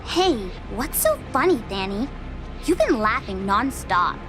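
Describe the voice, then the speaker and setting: A playful, inquisitive female companion, acted by a woman in an audio drama. Her high voice moves up and down in lively swings, light and affectionate. Music is clearly present behind her. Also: a little slow. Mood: curious, playful. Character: playful, curious, affectionate girlfriend figure. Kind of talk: dramatic audio story dialogue. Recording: music under the voice.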